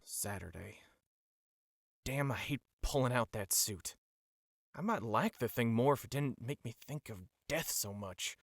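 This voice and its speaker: A man playing a caring, troubled pony worker in an audio drama, halting and heavy-hearted. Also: medium pitch, animated, creaky, no room sound, studio quality. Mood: troubled, concerned.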